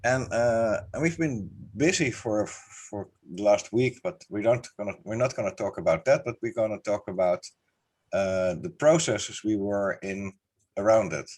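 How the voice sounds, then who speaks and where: A man with an irritated throat that makes his voice sound deeper than usual. He explains things in a steady, conversational way and checks in with his partner. He talks over a video call. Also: moderate pace. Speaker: co-host, healer. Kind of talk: casual chat.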